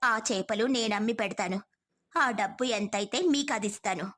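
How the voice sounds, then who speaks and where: A woman's voice: mid-range voice, moderate pace, a bit bright, close to an everyday voice. A woman voicing a kind, reassuring motherly village woman in a moral story. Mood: caring, insistent.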